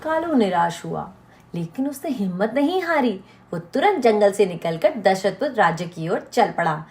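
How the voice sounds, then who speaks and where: Woman telling a kids cartoon story, very animated pitch, music underneath. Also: normal speed. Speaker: narrator. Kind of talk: scripted children's story narration.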